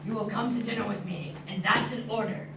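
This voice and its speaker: A woman's voice: medium pitch, steady tone, a little fast, close to an everyday voice. Female as short-tempered storybook beast; amateur stage read, far from mic, background noise. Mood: angry, commanding. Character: gruff, bossy, short-tempered male beast. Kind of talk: amateur readers theater in drama class. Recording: noisy echoey room, distant mic.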